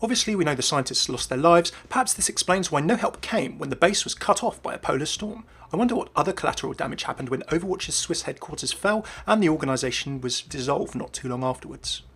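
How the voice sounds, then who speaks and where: A man's voice: fairly high pitch, fairly bright tone, husky. A man presenting scripted commentary on video game lore as a voice-over. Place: voice-over with faint music behind.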